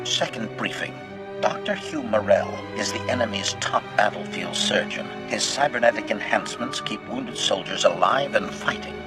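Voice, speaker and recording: A calculating killer droid from a video game, his low voice run through a robotic filter. He speaks in formal, clipped statements, labelling each one, and relishes carnage with deadpan, servile precision. Music plays clearly behind him. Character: cold, calculating male assassin droid, gleefully bloodthirsty, loyal servant. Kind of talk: scripted video game acting. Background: background music.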